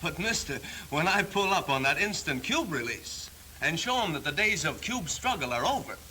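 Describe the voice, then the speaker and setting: An actor playing a cocky high-pressure salesman in a 1930s training film, punchy, slangy and boastful. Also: big pitch swings, normal speed, bright, forceful tone, heavily acted. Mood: brash, boastful. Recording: hissy, noisy 1930s film soundtrack.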